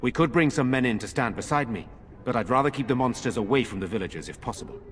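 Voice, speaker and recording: An actor playing a middle-aged noble lord in a game, speaking in a measured, formal and earnest way. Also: male voice, mid-range voice, expressive, lightly acted. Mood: practical. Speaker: village lord. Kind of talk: game voice acting.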